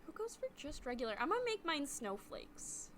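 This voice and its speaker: Bright, lively young woman co-host. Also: high voice, normal speed, slight background noise. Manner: playful, giggly, sing-song. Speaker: podcast co-host. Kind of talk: casual chat. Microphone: remote call.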